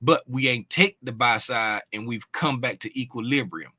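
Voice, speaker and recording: A man's voice: medium pitch, moderate pace, pushed and bright, dry recording. A man explaining trading charts in a casual, conversational way, often checking in with "right?" and "you know what I'm saying?". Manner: loose, informal phrasing with restarts. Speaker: trading educator.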